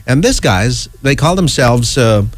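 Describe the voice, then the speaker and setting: A middle-aged man hosting a radio chart countdown in a casual, ad-libbed way, rambling and halting, often checking in with an "okay". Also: medium pitch, expressive, no room sound. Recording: music playing behind close, dry voice. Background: music.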